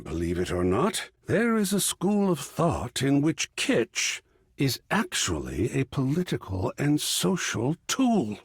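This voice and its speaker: A man voicing an excitable, cultured art curator character in a video game, theatrical and erudite, with precise diction and a husky, breathy voice. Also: a little high, no room sound, studio quality, exaggerated voice. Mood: musing, lecturing. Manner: effusive, erudite, precisely enunciated, dramatic. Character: excitable, cultured art object come to life, gallery curator.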